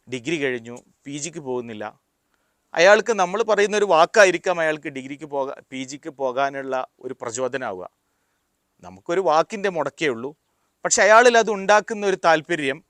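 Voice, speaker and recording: A man giving a motivational talk, explaining deliberately in short, paused phrases. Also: a little high, fairly bright tone, no echo, studio quality. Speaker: motivational speaker. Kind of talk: motivational talk with personal anecdotes.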